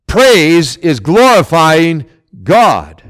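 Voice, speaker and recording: A man preaching a sermon as pastor, loud and emphatic, with sudden swells and drops in his delivery. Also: a little high, sing-song, drawn-out words.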